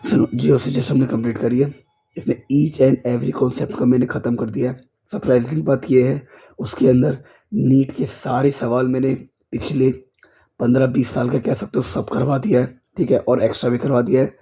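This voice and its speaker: Hoarse male teacher. Husky, gravelly, very dark tone. Exam-prep lecture pace with constant check-ins. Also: medium pitch, flat delivery, normal speed, poor audio. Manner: repeats checks like "clear?" and "okay?". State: hoarse from a cold. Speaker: chemistry teacher. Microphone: speaker's mic is faulty.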